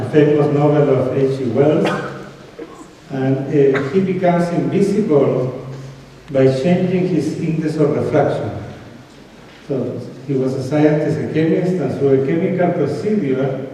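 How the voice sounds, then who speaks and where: A man delivers a relaxed, explanatory academic lecture in a large hall. His voice is mellow and dark, and it rings out with a long reverb and faint room noise. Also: a little high, a little slow. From Chile. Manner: relaxed, explanatory, gently humorous.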